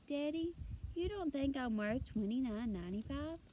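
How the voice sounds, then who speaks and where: A woman's voice: high voice, lively intonation, moderate pace, mellow, a little hiss or hum. A woman voicing a young girl, whiny and exclaiming to her daddy, in a read-aloud storybook. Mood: whiny, wounded.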